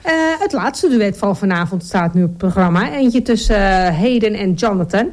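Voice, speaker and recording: Woman, radio host, casual presenting, halting, filler-heavy, middle pitch. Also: animated. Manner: halting, leans on filler phrases.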